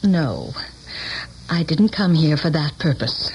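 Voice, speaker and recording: An actress playing an intense, earnest and reproachful former student in a radio drama, speaking deliberately and dramatically. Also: female voice, deep voice, expressive, a little mellow, breathy edge, exaggerated voice. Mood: cool, purposeful. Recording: noisy old radio broadcast recording.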